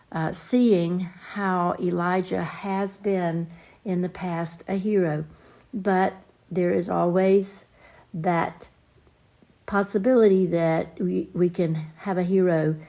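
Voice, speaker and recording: A woman teaching an informal Bible study lesson, explaining and reading scripture in a deliberate, halting manner. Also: lowish voice, creaky, a little mellow.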